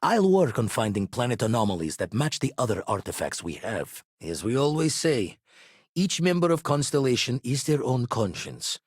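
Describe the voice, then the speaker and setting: A man voicing a weathered, shrewd ex-pirate spacefarer in a video game, guarded but loyal, with a husky voice and theatrical, slangy turns of phrase. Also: mid-range voice, very animated, normal speed, dry recording, clean studio sound. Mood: businesslike. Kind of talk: scripted game character dialogue.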